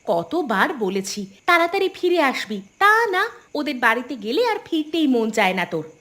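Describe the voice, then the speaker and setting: A woman playing a bossy, resentful, scheming village mother who scolds in an animated story. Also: sits high, normal speed. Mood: scolding. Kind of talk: Bengali animated story dialogue.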